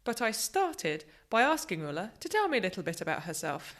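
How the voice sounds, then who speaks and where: A woman's voice: lowish voice, big pitch swings, a little fast, a bit bright. A woman hosting an English-learning podcast, interviewing a guest over a video call in an upbeat, encouraging way. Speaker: podcast host. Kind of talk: presenting and interviewing.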